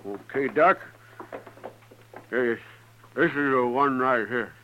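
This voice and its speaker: A man's voice: mid-range voice, takes it slowly, lightly acted. A man playing a hot-tempered, blunt American cowboy in 1950s western radio drama. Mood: gruff. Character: gruff, hot-tempered cowboy devoted to his horse. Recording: dull vintage radio recording.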